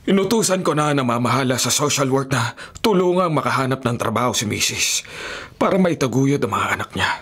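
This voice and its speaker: Dutiful middle-aged mayor, measured and earnest. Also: male voice, mid-range voice, expressive, fairly bright tone, breathy edge. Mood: firm, grateful. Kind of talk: Filipino radio drama acting.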